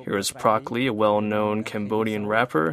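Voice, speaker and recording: A male news host reading scripted, steady interview questions and introductions. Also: on the low side, brisk. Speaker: news host, English voice-over. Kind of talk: read interview questions and voice-over.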